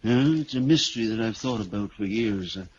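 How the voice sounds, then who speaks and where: An actor playing a grave house seller in a 1940s radio drama, telling an ominous story in a measured, halting way. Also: male voice, fairly low pitch, animated, a little mellow, lightly acted. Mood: puzzled, cautionary. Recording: dull, faintly noisy old radio recording.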